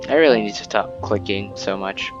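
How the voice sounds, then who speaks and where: A man's voice: on the low side, normal speed, highs cut off. A man giving casual, chatty commentary as he plays through a video game. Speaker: gamer, channel host. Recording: game music behind the voice.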